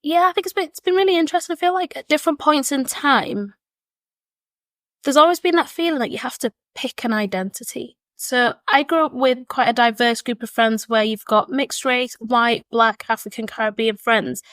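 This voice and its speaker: A woman's voice: sits high, normal speed, fairly bright tone, no room sound. A woman, giving earnest, reflective answers as a podcast guest and often repeating words while she thinks. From born in Zimbabwe, raised in Leeds, England. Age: 20s. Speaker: podcast guest, education consultant. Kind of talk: thoughtful conversational interview answers. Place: seated at a table with the hosts.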